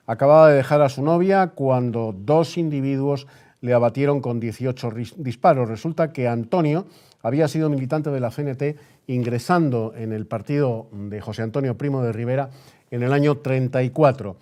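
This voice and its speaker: A man's voice: mid-range voice, moderate pace, dark tone. A man with an accent from Spain, hosting on radio in the manner of a news presenter. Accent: Spanish, from Spain. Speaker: radio host. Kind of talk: period radio news bulletin reading, then studio interview.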